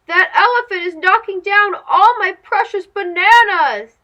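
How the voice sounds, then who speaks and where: A woman's voice: extremely high pitch, unhurried, muffled recording, voice pushed up. A woman voicing an old, lonely male storybook monkey with a dramatic, pleading delivery. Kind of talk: children's storybook character voice.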